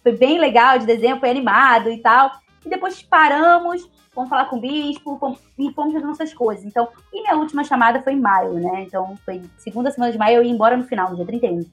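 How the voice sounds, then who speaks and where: A Brazilian woman in her twenties, a guest telling her own story in a relaxed, chatty way. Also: a little high, quick pace, hardly any pauses, soft background music. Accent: Brazilian Portuguese. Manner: chatty, leans on tag questions. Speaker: interview guest. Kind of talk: casual personal storytelling.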